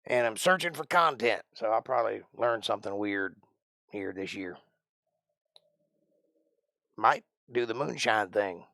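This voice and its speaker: A man in his forties talks in a loose, folksy ramble, thinking aloud as he goes. His voice carries a gravelly edge, and the sound is dry and close to the mic. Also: lowish voice, unhurried, long pauses, bright, forceful tone, no echo. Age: 40s. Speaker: live stream host. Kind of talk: casual chat answering live chat.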